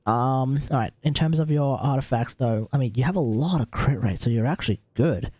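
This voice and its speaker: Mellow Australian man streaming casually. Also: mid-range voice, a little fast, no echo. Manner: informal, sweary, thinking aloud. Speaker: game livestream host. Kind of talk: casual gaming livestream chat, advising on character builds.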